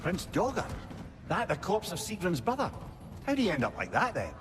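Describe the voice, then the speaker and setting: An actor playing a witty, talkative older companion in a video game, with a Scottish accent and a wry, wordy manner. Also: male voice, high voice, wildly swooping pitch, moderate pace, noisy background. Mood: curious. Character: witty, talkative older male companion, warm-hearted advisor. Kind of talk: video game drama acting.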